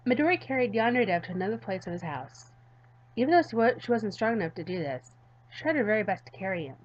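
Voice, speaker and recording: A woman with a medium-pitched voice hosts a gaming video. She reads visual novel narration aloud and talks casually to viewers. The sound is a little dull and lo-fi. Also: lively intonation, fast. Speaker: gaming YouTuber.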